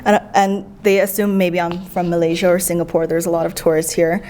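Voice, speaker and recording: A woman from the United States, a guest in a casual conversation, chatty and animated as she tells her experiences. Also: lowish voice, a little fast, a little hiss or hum. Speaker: guest, traveler.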